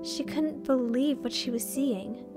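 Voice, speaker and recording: A woman's voice: sits high, measured pace, over music. A woman reading a fan fiction story aloud as narrator, in a scripted storytelling style.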